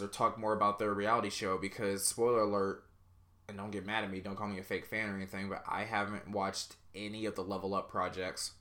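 A man's voice: lowish voice, sharp tone. A man hosting a solo podcast, rambling casually and self-deprecatingly with lots of fillers. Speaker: podcast host.

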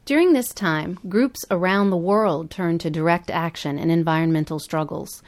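A woman's voice: on the low side, normal speed. A woman co-hosting a podcast, reading scripted documentary-style narration. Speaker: podcast co-host.

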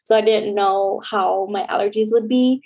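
A woman's voice: mid-range voice, steady tone, normal speed, darkish tone, breathy edge. A young woman co-hosting a casual podcast, a bit stuffy from a cold, talking chattily with lots of "like". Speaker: podcast co-host.

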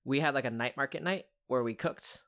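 A woman's voice: very deep voice, fairly quick, fairly bright tone. A young woman co-hosting a podcast in casual chat, rambling through stories in a laid-back way. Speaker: podcast co-host.

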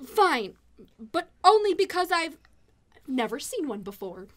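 High, brash gangster wife. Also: female voice, big pitch swings, measured pace, a bit bright, full character voice. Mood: suspicious, sulky. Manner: brash, mock-threatening. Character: cocky, menacing novice gangster wife, brash and suspicious. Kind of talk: comedic radio theatre dialogue.

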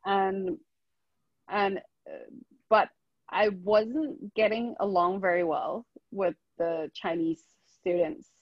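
A woman's voice: medium pitch, steady tone, takes it slowly, no echo, highs cut off. A woman from Hong Kong talking casually as an interview guest, telling her own story.

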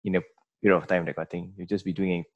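A young Singaporean man co-hosting a podcast, chatting casually and trailing off into quiet mumbles at the ends of his thoughts. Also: low-pitched, little pitch movement, very fast, narrow, tinny audio. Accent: Singaporean English. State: coughing from haze. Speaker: podcast co-host. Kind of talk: casual chat.

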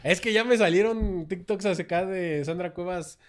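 A man's voice: high voice, moderate pace. A young man co-hosting a podcast, chatting crudely and joking with slang. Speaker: podcast co-host. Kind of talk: casual banter.

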